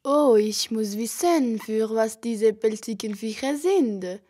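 A woman's voice: fairly high pitch, slow, warm tone. A woman acting a curious, stubborn young foreign newcomer in an amateur radio play. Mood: curious, earnest.

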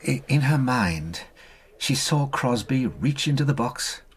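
An actor playing an earnest, compassionate British gentleman doctor in a radio drama, speaking in a measured way. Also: medium pitch, lively intonation, slightly rough. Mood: solemn. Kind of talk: BBC radio drama acting.

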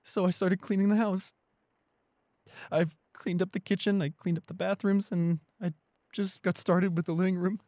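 A man playing a shy, anxious, apologetic young boyfriend in an audio roleplay, halting and repeating himself in a breathy, husky voice. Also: sits high, level tone, mellow, no room sound, studio quality, natural voice. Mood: subdued, nervous. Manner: halting, stammering, repeating pleas. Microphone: close studio microphone, dry.

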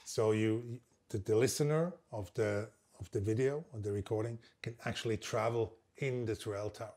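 A man's voice: on the low side, moderate pace, darkish tone. A man in his sixties from Switzerland with a Swiss German accent, a native German speaker, explaining his work thoughtfully in short phrases in an interview. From Basel, Switzerland. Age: 60s. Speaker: interviewed composer and percussionist. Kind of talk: reflective interview conversation. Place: indoor room at a large wooden table under glazed roof.

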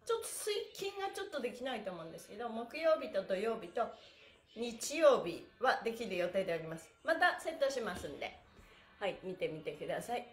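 A middle-aged woman leading a live stretching class in a cheerful, encouraging, animated way. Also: on the high side, fairly quick. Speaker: stretching instructor. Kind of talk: live-streamed exercise class with counted chants. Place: small indoor room with bare walls. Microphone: camera a few metres away. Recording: echoey room, mic at a distance.

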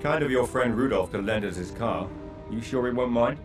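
An actor playing a cool, commanding British secret agent in an understated video game cutscene. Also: male voice, medium pitch, animated, a little fast, over music, close to an everyday voice. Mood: dry, probing.

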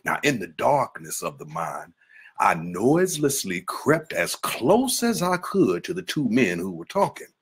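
A man giving a dramatic first-person reading of a memoir in an expressive storytelling manner. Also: fairly low pitch, a little slow, breathy edge. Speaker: reader performing a historical autobiography.

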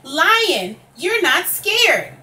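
Woman as a slow, bright-voiced stern elephant. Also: very high-pitched, sing-song, full character voice. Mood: amazed. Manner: stern, deliberate. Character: stern, suspicious male elephant leader. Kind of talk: children's picture book read-aloud. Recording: distant microphone, faint background noise.

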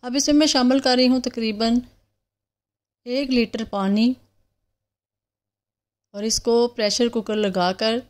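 A woman hosting a cooking channel, calmly talking through a recipe step by step in a voice-over. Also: on the high side, takes it slowly, pauses often. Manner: calm step-by-step instructions.

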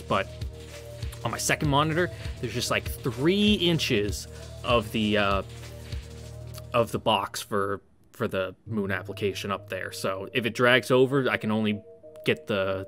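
A man casually reading a visual novel aloud as a streamer, with halting, wry asides. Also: on the low side. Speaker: let's play streamer. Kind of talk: casual read-through of a visual novel with commentary. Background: game music.

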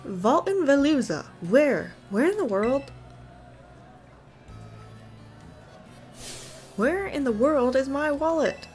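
A woman voicing an excitable, food-obsessed old man gym leader with folksy exclamations. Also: unhurried, faint music behind. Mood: frantic. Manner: exclaiming, folksy, booming catchphrase.

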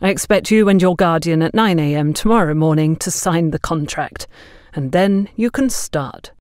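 Audio story boss: grave woman, voiced by a female narrator, stern, formal, measured delivery, dry sound. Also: low voice, darkish tone, no room sound, close to an everyday voice. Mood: stern, businesslike.

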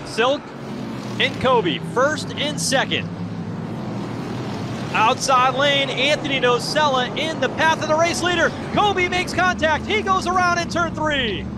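A man calling live play-by-play for a stock car race, with excited, rapid race calling. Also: very high voice. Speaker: race commentator. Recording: loud race-car noise underneath. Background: roaring race engines.

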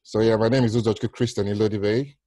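A middle-aged man with a Nigerian accent speaks in a low voice as an interview guest. He explains things conversationally, circling back and rephrasing. The sound is dry, captured on a headset during a video call. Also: fairly even intonation, quick pace, warm tone, no echo. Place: small room at home, on a video call.

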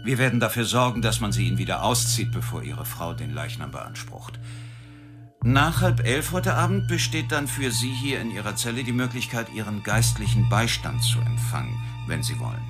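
An actor playing a formal, businesslike prison official in a radio play, measured and procedural. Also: male voice, lowish voice, sing-song. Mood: businesslike, grave. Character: businesslike prison official briefing a condemned man. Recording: music behind the voice.

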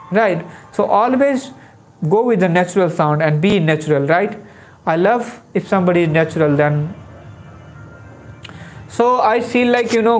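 A young man talks straight to his viewers in a live-stream lesson. He sounds earnest and coaxing, with many "you know"s and checking "right?"s. The sound is close, from a lapel mic in a small room, with a faint hiss behind him. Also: high voice. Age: young adult. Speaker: English tutor hosting a live session. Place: small dark room indoors, seated.

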